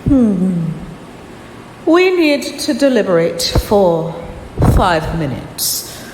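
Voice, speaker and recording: A woman's voice: medium pitch, big pitch swings, very slow. A woman playing a solemn, ceremonious debate judge in a radio drama, heard in an echoey hall. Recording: echoey hall, faint noise.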